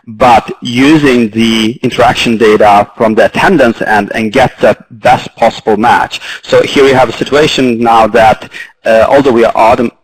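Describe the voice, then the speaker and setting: A man with a Swedish accent speaking as a panelist, halting and full of fillers and restarts. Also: mid-range voice, fairly even intonation, breathy edge, poor audio. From Sweden. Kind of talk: panel discussion.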